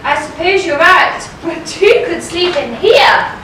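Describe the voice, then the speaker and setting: A woman's voice: very high-pitched, lively intonation, slow, sharp tone, slight background noise, heavily acted. A woman playing a polite, inquisitive companion in a stage pantomime. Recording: echoey hall, distant mic, clear music.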